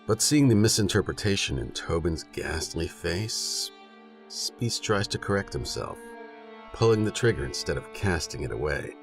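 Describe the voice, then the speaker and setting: A man narrating a western novella in a measured, dramatic storytelling manner. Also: sits low, very animated, creaky, music in the background. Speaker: audiobook narrator.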